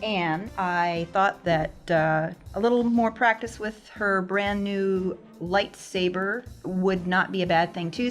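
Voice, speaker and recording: A woman talking casually as a player on a tabletop roleplaying podcast. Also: medium pitch. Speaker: player on an actual-play podcast. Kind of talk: casual tabletop game chat.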